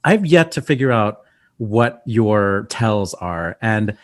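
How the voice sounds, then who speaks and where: Male co-host, slightly low pitch, eager casual interviewer, loose rambling phrasing. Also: expressive, slow pace. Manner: enthusiastic, rambling questions. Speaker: podcast co-host.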